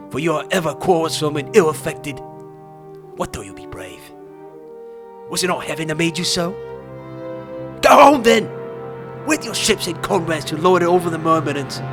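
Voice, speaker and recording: A man playing a haughty, domineering Greek king in a dramatized audiobook, declaiming imperiously and forcefully over music. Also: a little high, voice pushed up, natural voice. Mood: contemptuous, angry. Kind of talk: dramatized audiobook acting of epic verse.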